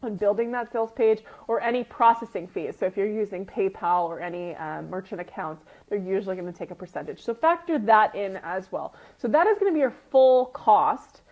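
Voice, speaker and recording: A woman's voice: medium pitch, expressive, brisk, few pauses. Sniffly woman coaching, dull lo-fi audio. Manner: conversational teacher, often checking in with "right?" and "okay?". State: sniffly with a runny nose. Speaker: retreat business coach and group host. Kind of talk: informal live coaching talk.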